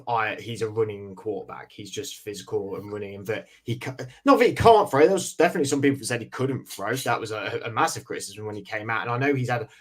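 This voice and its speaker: A man hosting a casual sports podcast in a chatty, informal way, slightly hoarse and sniffly with a cold. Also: lowish voice. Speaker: podcast host.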